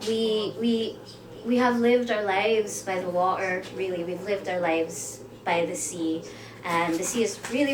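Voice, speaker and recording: A woman's voice: mid-range voice, background noise. A woman from the Philippines, a native Filipino speaker, chats casually and light-heartedly to the audience as a singer between songs at a live indoor show. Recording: echoey live tavern performance, guitar music behind.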